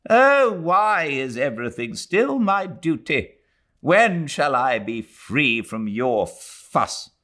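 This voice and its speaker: A man's voice: a little high, very animated, slow pace, pushed and bright, pitched above the performer's own voice, heavily acted. A man voicing an irritable, weary old Englishwoman from a novel, disdainful and brooding, with a rambling, emphatic delivery. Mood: fretful, weary. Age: older.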